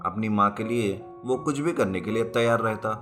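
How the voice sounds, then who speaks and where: Low-pitched man narrating a story over music. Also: normal speed, a bit bright. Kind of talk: scripted storytelling narration for an animated folk tale. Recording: music bed behind the voice. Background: background music.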